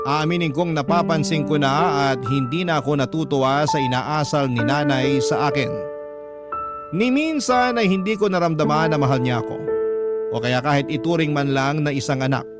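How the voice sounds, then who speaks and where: Higher-pitched Filipino man narrating over loud music. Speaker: radio drama narrator reading a letter. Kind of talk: dramatic first-person scripted narration. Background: prominent music bed.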